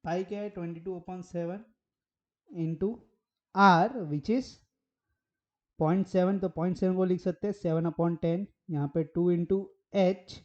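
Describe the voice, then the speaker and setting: A hoarse man teaching maths at a whiteboard. He works through each step and keeps asking rhetorical questions as he goes. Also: sits high, fairly even intonation, slow pace, dull audio. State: hoarse from a sore throat.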